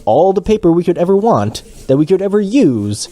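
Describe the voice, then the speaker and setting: A man's voice: sits high, sing-song, fairly quick, mellow. A man playing a smug, flippant host in scripted comedy banter, glib and dismissive. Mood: gloating.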